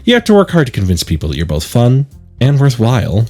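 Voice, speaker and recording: Man reading as a husky, encouraging schoolgirl club president. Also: mid-range voice, huge pitch swings, fast, warm tone, soft background music. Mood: upbeat. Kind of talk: reading visual novel dialogue.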